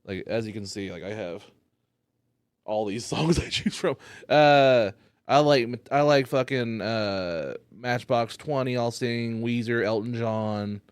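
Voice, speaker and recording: A man, a stand-up comedian, chatting loosely on a podcast while high, rambling and cursing often. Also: slow, clean tone, no room sound, clean studio sound. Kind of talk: casual podcast chat.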